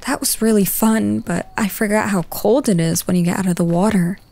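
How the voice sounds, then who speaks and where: A woman's voice: medium pitch, steady tone, a little fast, creaky, dark tone, lightly acted. A woman playing a teasing young goth girl in an ASMR roleplay, talking casually and directly to the listener. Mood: excited, playful. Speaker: roleplay voice performer. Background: background music.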